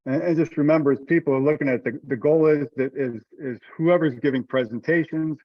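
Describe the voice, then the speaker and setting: A man chairing an informal online meeting in a relaxed, colloquial way. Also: fairly high pitch, brisk, no room sound. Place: online video conference call. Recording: dull, lo-fi call audio.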